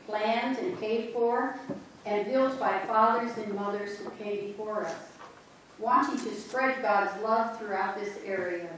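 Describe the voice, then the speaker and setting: A woman narrating a church history play on stage, telling the story slowly and directly to the audience. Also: mid-range voice, a little hiss or hum. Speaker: narrator in a church reader's theater. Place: large church sanctuary. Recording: very echoey church from far off.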